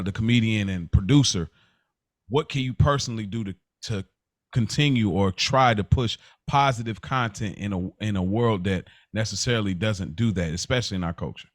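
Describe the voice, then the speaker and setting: A man's voice: on the low side, no echo, studio quality. A man hosting a casual podcast conversation, talking emphatically and repeating phrases to build his point. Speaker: podcast host.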